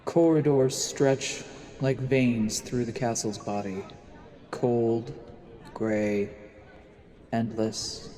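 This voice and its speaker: A man playing a coldly calculating assassin who poses as a lord, narrating gravely and deliberately in a fantasy audio drama. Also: mid-range voice, dark tone, close to an everyday voice.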